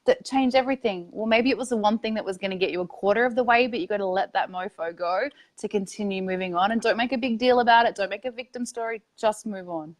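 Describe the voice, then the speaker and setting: A woman's voice: mid-range voice, fast talker, hardly any pauses. Blunt, upbeat woman guest. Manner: emphatic, blunt, upbeat, laughs easily. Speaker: interview guest, spiritual coach. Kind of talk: casual online interview.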